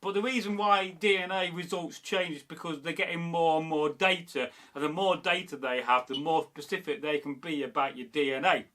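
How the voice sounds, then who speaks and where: Guy rambling thru viewer comments, bit higher voice, bright and forceful. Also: moderate pace, few pauses. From Derby, England. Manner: rambling, meandering, many fillers and restarts. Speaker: history channel host. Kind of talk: casual chat reading viewer comments.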